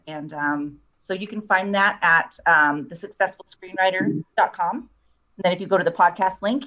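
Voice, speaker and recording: A woman's voice: deep voice, a bit bright. A woman guest explaining her work in casual talk over dull, lo-fi call audio. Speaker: guest, screenwriter and story analyst. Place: online video call.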